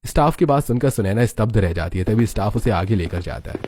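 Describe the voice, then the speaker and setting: A man's voice: lowish voice, expressive, fast talker, warm tone, a little hiss or hum. A man narrating a scripted moral story as its storyteller.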